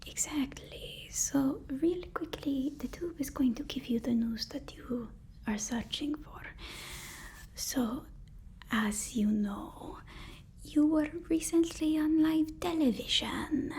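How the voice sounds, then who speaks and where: A woman playing a nervous, fawning personal assistant to a super villain in an ASMR roleplay, soft-spoken, deferential and hesitant. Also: high-pitched, takes it slowly, slight background noise. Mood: nervous, careful.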